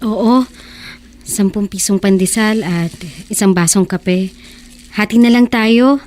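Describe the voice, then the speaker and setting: A woman's voice: mid-range voice, takes it slowly, a little mellow, natural voice. A woman playing a poor, devoted young wife in a radio drama, anxious yet encouraging, with an emotional, coaxing delivery. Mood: coaxing, insistent. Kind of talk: Tagalog radio drama acting.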